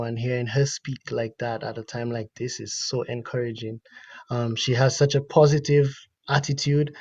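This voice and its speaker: A man from Dominica with a Dominican accent, a musician guest talking in an earnest, thoughtful, measured way. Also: mid-range voice, a little mellow. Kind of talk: casual interview chat. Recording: dry, dull lo-fi sound.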